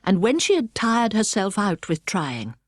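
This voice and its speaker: A middle-aged British woman narrating a children's storybook with precise, expressive delivery. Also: mid-range voice, measured pace, no echo, studio quality. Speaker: audiobook narrator. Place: dry studio.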